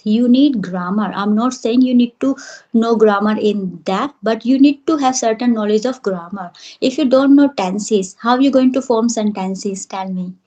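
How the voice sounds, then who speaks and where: A woman's voice: a little high, a little mellow, muffled recording. A woman English teacher giving firm, reassuring advice in an interview.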